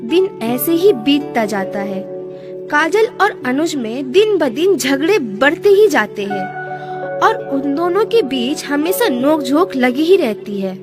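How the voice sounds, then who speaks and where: A woman storyteller with a high-pitched voice and very lively intonation, reading scripted narration for a family moral tale. Music plays behind her. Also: slow.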